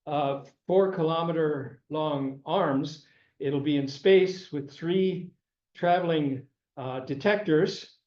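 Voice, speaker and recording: An older male lecturer speaks in a slightly high voice, stopping and repeating himself and clearing his throat as his voice gives out. The sound is muffled and lo-fi, carrying the echo of a lecture room. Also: a little mellow. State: losing his voice. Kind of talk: classroom lecture. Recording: echoey room, dull lo-fi sound.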